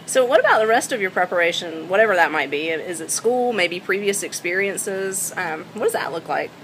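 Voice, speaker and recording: A woman's voice: on the low side, a little fast. A woman leading a group discussion read aloud, putting questions as moderator. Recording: noisy room with steady hum.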